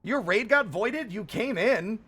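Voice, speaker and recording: Guy streamer, kinda high voice, super animated and playful, rambly chat, goes all theatrical. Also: measured pace, husky. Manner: playful, theatrical, rambling, with sing-song exclamations. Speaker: live streamer, host. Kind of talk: casual livestream chat with viewers.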